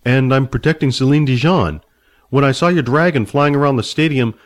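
A man's voice: medium pitch, fairly quick, close to an everyday voice. A man reading a storybook aloud, voicing an earnest, hungry young barbarian man who talks plainly and straightforwardly.

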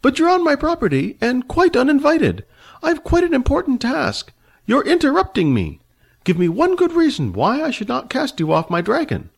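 A man's voice: wildly swooping pitch, moderate pace, vocal fry, breathy edge, heavily acted. Seth, a man doing a fussy old wizard. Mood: haughty. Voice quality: thin, high and fussy. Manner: prim, haughty and inquisitive. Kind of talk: storybook reading.

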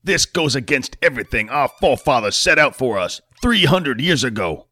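A man voicing a heavyset, enraged male senator who rants in slow, emphatic oratory. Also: medium pitch, sing-song, sharp tone, dry recording, pitched above the performer's own voice. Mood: ranting.

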